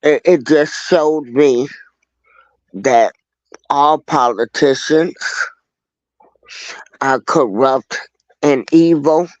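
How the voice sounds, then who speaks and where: A man appearing as a guest on a livestream talk show, speaking in a calm, measured way. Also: fairly high pitch, dry recording, dull audio.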